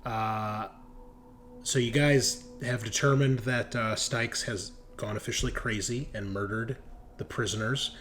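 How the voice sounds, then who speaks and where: A man's voice: on the low side, fairly even intonation, measured pace, fairly bright tone, a little hiss or hum. A man running a tabletop roleplaying game as game master, talking casually and jokily.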